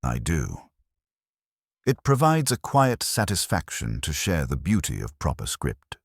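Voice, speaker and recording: A man voicing a prim, exacting woman librarian with quiet authority, speaking formally and precisely in an audiobook mystery. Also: a bass voice, huge pitch swings, unhurried, slightly rough, dry recording, studio quality, exaggerated voice. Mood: quietly approving.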